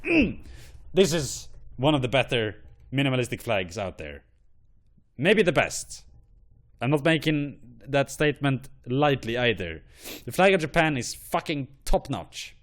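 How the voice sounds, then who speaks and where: Male host, mid-pitched, profane and animated, rambles through restarts. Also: unhurried. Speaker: online show host. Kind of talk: ranting commentary on flags.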